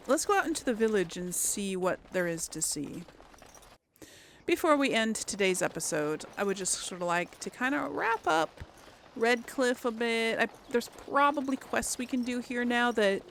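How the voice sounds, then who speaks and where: A woman's voice: on the high side, animated, normal speed. Casual woman gamer with faint background noise. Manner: rambling, thinking aloud. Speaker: gaming host. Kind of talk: casual game commentary, chatting to viewers.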